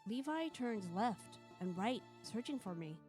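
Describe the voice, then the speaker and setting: A woman's voice: fairly high pitch, expressive, unhurried, darkish tone, faint music behind. A woman live-streaming, reading a visual novel aloud and chatting casually between passages.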